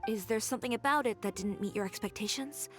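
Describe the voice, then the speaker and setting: Brisk, composed boutique designer acted by a woman for a game: highish voice, frank, music behind. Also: lightly acted. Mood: calm, professional. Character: Chiori, poised, brisk young female fashion designer.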